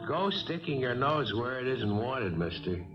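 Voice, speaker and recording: An actor playing a cool young armed killer in a radio drama, talking with mock politeness and quiet menace. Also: male voice, medium pitch, sing-song, unhurried. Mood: calm menace. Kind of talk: radio mystery drama acting. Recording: dull old radio recording, faint music.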